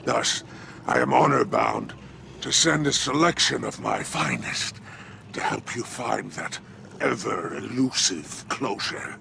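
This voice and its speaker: A pompous, sly alien kingpin from a video game. His low voice is thick with gravel and swoops theatrically through mock-courteous, drawn-out lines. There is clear noise behind him. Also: expressive, heavily acted. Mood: mock-gracious. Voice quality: deep gravelly growl. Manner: theatrical, drawling, sardonic. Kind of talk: video game character acting.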